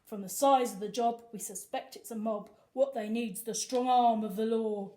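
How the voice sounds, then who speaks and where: A woman voicing a commanding male police station sergeant from a picture book, loud and urgent in rhyme. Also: fairly high pitch, fairly even intonation, normal speed, natural voice. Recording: echoey room.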